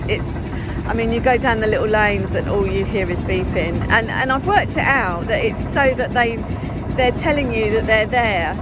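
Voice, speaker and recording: A woman's voice: fairly high pitch, low-quality recording. A woman with a sore throat, chatting casually and rambling with fillers and restarts while she vlogs her travels from a river boat. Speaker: travel vlogger. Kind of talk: casual travel vlog narration. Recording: loud boat and street noise.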